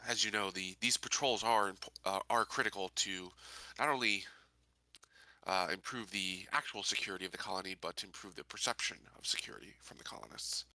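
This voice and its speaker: A man playing a self-important, officious colony security officer in a tabletop game, speaking in halting, restarted phrases. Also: low voice, pushed and bright, no room sound, exaggerated voice, low-quality recording. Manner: halting, officious, full of repeated starts. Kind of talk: improvised in-character roleplay.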